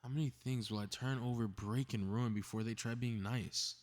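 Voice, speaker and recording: A man's voice: mid-range voice, steady tone, moderate pace, a little mellow, natural voice. A man acting a cocky, mischievous young male superhero in a scripted ASMR audio drama, muttering sarcastic, casually profane asides. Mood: scheming, amused.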